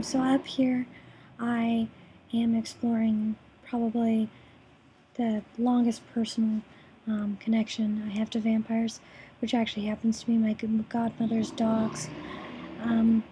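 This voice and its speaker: A young woman with a hoarse, raspy voice after throat surgery, presenting her course project over a screen recording with many ums and restarts. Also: fairly high pitch, little pitch movement, a little slow, mellow, slight background noise, rough recording. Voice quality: raspy, breathy.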